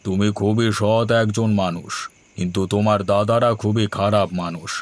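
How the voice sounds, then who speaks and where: Man voicing a solemn, low-voiced holy man. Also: steady tone, close to an everyday voice. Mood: approving, solemn. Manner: solemn, deliberate. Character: stern, wise holy man guarding a mountain. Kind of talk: dubbed fairy tale cartoon dialogue.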